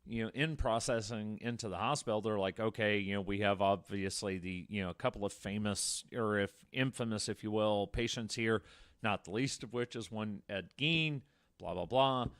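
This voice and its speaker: A man's voice: fairly low pitch, moderate pace, a bit bright, clean tone, no echo, clean studio sound. A man co-hosting a podcast, telling true-crime stories casually in a wordy way full of asides. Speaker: podcast co-host.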